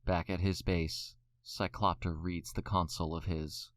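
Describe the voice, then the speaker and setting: A man's voice: sits low, level tone, unhurried. A man narrating an audio drama from a script.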